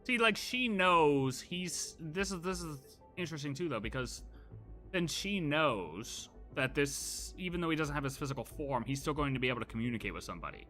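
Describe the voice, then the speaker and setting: Bright, forceful male game streamer. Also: a little high, expressive, normal speed, soft background music. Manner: speculative, rambling with repeated half-phrases. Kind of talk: casual live playthrough commentary.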